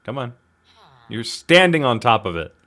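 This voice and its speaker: A man's voice: medium pitch, big pitch swings, moderate pace, a bit bright. A man giving casual gameplay commentary, talking to himself in a slangy, self-deprecating, exclamatory way. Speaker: gaming video host.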